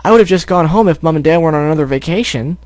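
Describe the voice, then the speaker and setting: A tiny unicorn filly with a high, squeaky voice that climbs well above the reader's own , put on by a man in strained falsetto. It is a storybook voice, thin and chirpy, recorded close and dry with no room sound. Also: very fast, a little mellow, no echo, natural voice.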